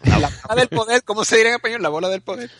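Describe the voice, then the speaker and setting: A man's voice: high voice, animated, very fast, a bit bright, no room sound, studio quality. A man with a Puerto Rican Spanish accent co-hosting a podcast in a casual, teasing, jokey way. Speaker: podcast co-host.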